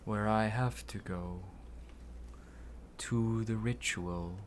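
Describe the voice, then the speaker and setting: Man voicing a low, mournful lost soul. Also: steady tone, slow, a little hiss or hum. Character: lost, grieving married man, a restless sacrificed soul.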